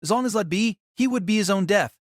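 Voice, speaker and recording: A man's voice: high-pitched, fast talker, no echo, clean studio sound. A man narrating a novel as an audio drama in a dramatic scripted style.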